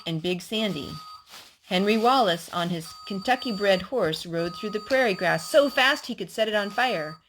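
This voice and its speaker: A woman's voice: mid-range voice, a bit bright, a little hiss or hum. A woman storyteller reading a historical children's story aloud to a young listener.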